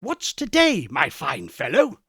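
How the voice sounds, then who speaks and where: A man's voice: fairly high pitch, huge pitch swings, unhurried, creaky, fairly bright tone, husky, dry recording, clean studio sound, exaggerated voice. A man voicing an overjoyed reformed old miser in a storybook reading, exclamatory and full of childlike glee. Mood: eager delight. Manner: exclamatory, gleeful, whooping.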